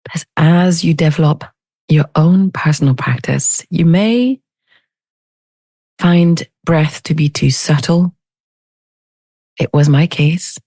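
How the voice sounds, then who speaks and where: A woman leading a guided meditation in a gentle, unhurried manner with long pauses between phrases. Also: low-pitched, no echo. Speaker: meditation teacher.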